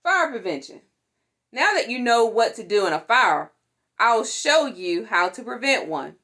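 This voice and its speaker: Animated woman reading a children's picture book. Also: a little high. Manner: deliberate, animated, with playful sound words and exclamations. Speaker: storytime reader for a fire department. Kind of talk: expressive read-aloud of a children's picture book.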